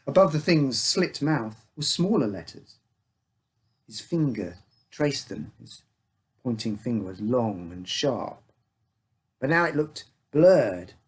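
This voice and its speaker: A man's voice: medium pitch, slightly rough. A man reading a scripted uncanny story as its storyteller. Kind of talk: scripted narration of an uncanny tale.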